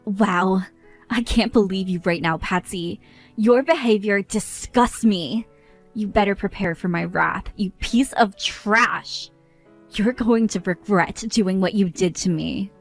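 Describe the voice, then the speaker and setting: A woman's voice: mid-range voice, slow, faint music behind. A woman voicing an exasperated young bride who scolds others in an indignant tone.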